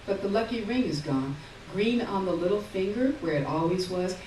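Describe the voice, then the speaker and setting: A woman reading literary fiction aloud on stage in a lyrical, measured way, heard from far off in an echoey hall. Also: mid-range voice, animated, dark tone, slight background noise. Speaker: reader. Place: theater stage.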